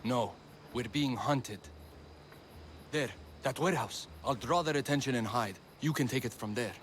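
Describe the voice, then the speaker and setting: A man's voice: medium pitch, normal speed, a bit bright, a little hiss or hum, natural voice. Man acting an earnest, urgent young companion. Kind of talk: video game cutscene acting.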